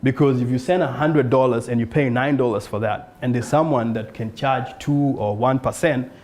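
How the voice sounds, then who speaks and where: A man from South Sudan pitches his startup to a live audience. His voice is mellow and a bit dark. He speaks earnestly and lifts his pitch on rhetorical questions. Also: fairly even intonation, moderate pace. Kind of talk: conference pitch talk. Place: event room in front of an audience.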